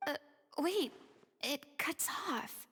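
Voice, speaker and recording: A woman playing a thoughtful, compassionate young investigator in a video game, speaking in a measured, breathy, reflective way. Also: sits high, animated, fairly bright tone, big-room sound, full character voice. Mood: somber, tender. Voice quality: breathy, husky, creaky.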